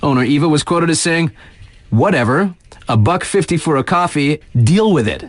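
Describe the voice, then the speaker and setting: A man's voice: a little high, lively intonation, normal speed, dry recording, natural voice. A man playing a laid-back, sardonic young radio DJ in a video game, reading local news in a wry, slangy, conversational way. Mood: upbeat, sardonic.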